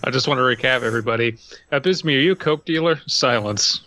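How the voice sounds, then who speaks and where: A man's voice: mid-range voice, fast, bright, forceful tone, no room sound. A man in his thirties co-hosting a podcast with casual, joking chat. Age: 30s. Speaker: podcast host.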